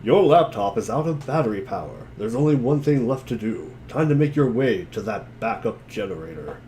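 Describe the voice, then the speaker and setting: A man's voice: mid-range voice, animated, normal speed, warm tone, a little hiss or hum. A man giving a wry, theatrical dramatic reading of narration and dialogue, dropping into casual asides. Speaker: reader in a group dramatic reading.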